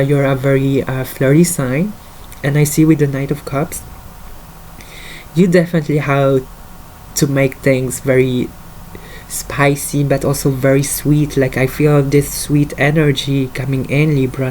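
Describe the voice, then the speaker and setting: A man's voice: medium pitch, darkish tone, a little hiss or hum, rough recording. A man with a French accent, a native French speaker, giving a casual tarot card reading in English, talking hesitantly with many fillers. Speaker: tarot reader.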